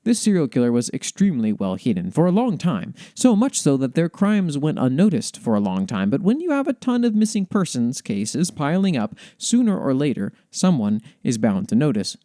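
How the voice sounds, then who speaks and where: A man hosting a podcast, reading fantasy lore aloud and commenting on it in a measured, thoughtful way. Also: mid-range voice, wildly swooping pitch, warm tone, no room sound, studio quality. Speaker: podcast host.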